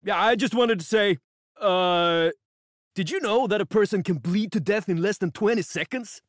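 A man's voice: sits high, moderate pace, dry recording, clean studio sound, natural voice. A man voicing a jittery, unhinged criminal bluffing as a guard in a video game, hesitant and oddly morbid. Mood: nervous, creepy. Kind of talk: game voice lines over radio.

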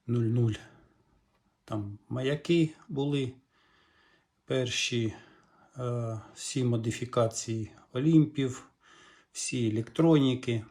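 A middle-aged man with a Ukrainian accent talks through a repair at his workbench. His voice is mellow and dark, and he speaks calmly, thinking aloud with small hesitations. The sound comes from the camera's own microphone. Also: mid-range voice. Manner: calm, explanatory, musing aloud with hesitations. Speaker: repair vlogger. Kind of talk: hands-on repair walkthrough.

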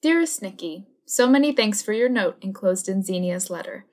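A woman acts a seventeen-year-old princess in a period audio drama. She has a medium-pitched voice and reads her letters with polite, girlish affection. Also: animated, moderate pace, a little mellow, lightly acted. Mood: fond, polite. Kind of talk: dramatic reading of personal letters.

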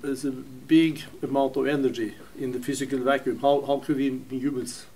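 Flat-voiced man asking a halting question. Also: mid-range voice, breathy edge, low-quality recording. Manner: hesitant, restarts often. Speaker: audience member asking a question.

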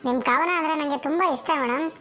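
A woman voicing a gushing, excitable admirer in a comedy cartoon skit. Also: sits high, fast talker, slight background noise.

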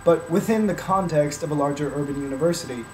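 A man's voice: a little high, fast, darkish tone, slight background noise, low-quality recording. A man in his late teens introducing himself to a laptop webcam in a small room. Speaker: incoming university student. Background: faint music.

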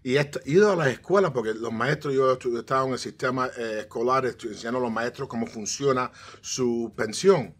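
A middle-aged man, a financial strategist guest, talking in an animated way, mixing in English words and restarting often. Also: mid-range voice, fairly quick, few pauses. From United States, of Cuban parents. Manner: animated, gestures a lot, frequent restarts and English "so". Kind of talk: casual interview talk. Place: TV studio set, seated on armchair.

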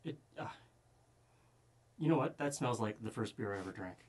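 A man's voice: on the low side, animated, normal speed, husky. A man, chatting casually as a guest taster. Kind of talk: casual chat. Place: kitchen studio, indoors.

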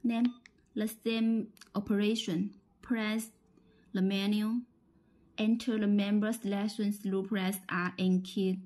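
A woman with a Chinese accent giving a halting, step-by-step instructional product demonstration, often repeating phrases. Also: medium pitch, flat delivery, darkish tone, poor audio.

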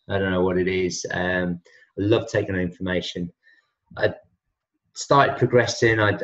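A man in his fifties, a health and wellness coach, chatting casually on a video call from a room at home, with many fillers and restarts. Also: on the low side. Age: 50s. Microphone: laptop webcam on a video call. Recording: dull lo-fi video call audio.

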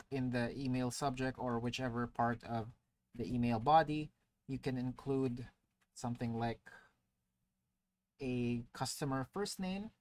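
Male tutorial narrator, mid-pitch, relaxed explainer voice-over, loose and chatty with fillers. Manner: casual explaining with frequent "uh" fillers. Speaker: tutorial presenter. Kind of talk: screen-recorded software tutorial. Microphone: voice-over to screen recording.

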